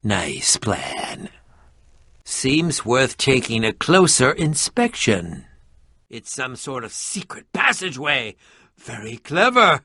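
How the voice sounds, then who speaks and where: High-pitched, theatrical eccentric adventurer. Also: male voice, pushed and bright, no echo, studio quality, exaggerated voice. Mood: curious, wry. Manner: theatrical, pompous, formal wording. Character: eccentric, theatrical middle-aged male adventurer, prickly and curious. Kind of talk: video game voice acting.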